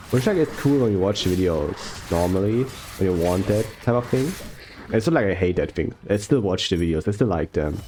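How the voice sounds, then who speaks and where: A young man talking slowly and softly over gameplay, rambling with fillers and self-corrections. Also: fairly low pitch, background noise. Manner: slow, soft-spoken, unhurried. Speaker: gaming YouTuber. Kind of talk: relaxed gameplay commentary.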